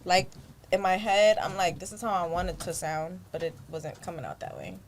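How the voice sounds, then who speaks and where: A woman's voice: lowish voice, brisk, a little hiss or hum. Doubtful woman on a podcast. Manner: doubtful, short replies, swears. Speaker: podcast co-host. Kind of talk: casual chat.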